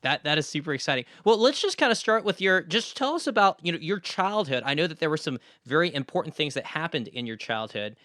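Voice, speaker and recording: A man's voice: fairly high pitch, sing-song, quick pace, hardly any pauses, pushed and bright, dry recording. A man speaking as a podcast host and interviewer, presenting and asking questions in a studio. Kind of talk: scripted podcast intro and interview. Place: recording studio.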